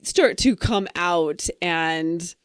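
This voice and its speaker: A woman's voice: on the low side, drawn-out words, smooth, no echo, studio quality. A woman co-hosting a podcast, chatting casually and playfully in a rambling, trailing-off way. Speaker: podcast co-host. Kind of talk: casual banter retelling fairy tales.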